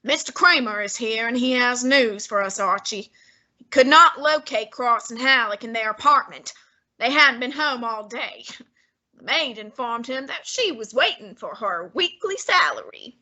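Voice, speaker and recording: A fussy, formal genius detective, played by a young woman who speaks slowly and exactingly in a high, bright, slightly husky voice. Old-time radio drama style. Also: expressive. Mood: reasoning. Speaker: student actor.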